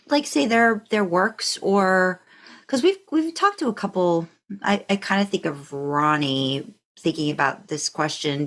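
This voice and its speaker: A woman's voice: sits low, fairly bright tone. A woman hosting a casual interview, phrasing her questions in a tentative, meandering way. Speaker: podcast host, interviewer.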